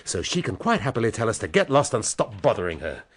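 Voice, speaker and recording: An actor playing a dry, sardonic middle-aged police inspector in a radio drama. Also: male voice, fairly low pitch, big pitch swings, fast talker, slightly rough. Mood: weary. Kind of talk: BBC radio drama acting.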